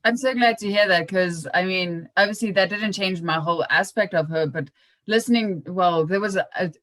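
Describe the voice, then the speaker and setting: A woman's voice: lowish voice, normal speed, fairly bright tone, no room sound. A young woman hosting a podcast, chatting casually and informally in an anecdotal style. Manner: informal, acts out remembered remarks. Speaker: podcast host.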